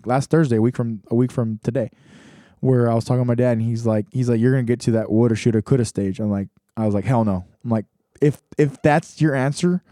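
A young man talks things over in a loose, rambling monologue, circling back and restarting his sentences. His tone is mellow and relaxed. The sound is dry and close, with little room around it. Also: mid-range voice, no room sound. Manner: rambling, many restarts and fillers. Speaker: podcast host. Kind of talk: casual reflective monologue.

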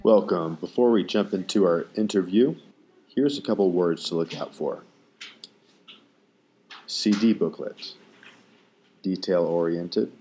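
Man, low dark voice, husky, very slow pace, scripted host delivery. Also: faint music behind. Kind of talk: scripted introduction and vocabulary list.

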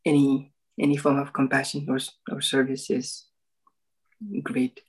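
A man's voice: mid-range voice, monotone, a little slow, slightly rough, poor audio. A man from Nepal, new to a meditation group, thinking aloud in a casual discussion and searching for words. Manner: thoughtful, searching for words, frequent ums and rights.

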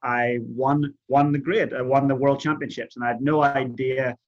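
A man's voice: medium pitch, level tone, moderate pace, dull audio. A man speaking as an interview guest, telling personal stories in a conversational style.

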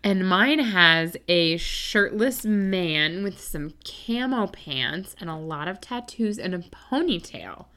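Low-voiced woman in her twenties with a cold. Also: animated, slow pace, few pauses, pushed and bright. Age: 20s. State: has a cold. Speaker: podcast co-host. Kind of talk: casual chat.

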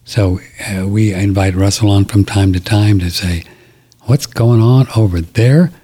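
A man's voice: fairly low pitch, unhurried, mellow, husky. A man hosting a live talk radio show, rambling casually with many fillers.

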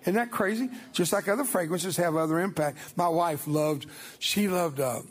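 A man's voice: high voice, normal speed, slightly rough. An older man whose first language was Spanish, giving a folksy, emphatic church testimony as a guest speaker.

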